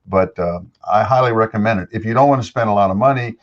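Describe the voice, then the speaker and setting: An older man with a deeper voice, a voice-over actor talking easily as an interview guest into a studio microphone. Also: fast talker, lo-fi sound. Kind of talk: casual interview chat. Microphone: studio dynamic microphone.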